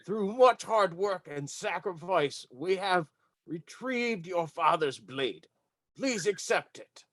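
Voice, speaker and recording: A man playing a proud, gallant dwarf adventurer who speaks in a formal, deliberate, courtly way at a tabletop game. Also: sits high, lively intonation, a bit bright, dry recording, voice pushed up, heavily acted. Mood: solemn.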